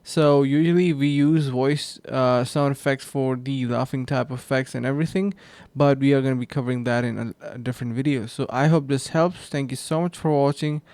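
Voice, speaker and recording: A man hosting a screen-recorded software tutorial. He explains the steps casually and says "uh" and "um" often. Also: mid-range voice, steady tone, moderate pace, dry recording. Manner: casual, step-by-step, with frequent fillers. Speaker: tutorial host.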